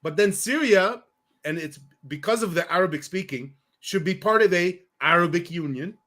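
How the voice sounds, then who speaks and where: A man's voice: high voice, normal speed, fairly bright tone. A man hosting a podcast, chatting casually and thinking aloud in a friendly way, hedging with "you know". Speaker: podcast host. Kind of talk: casual conversation.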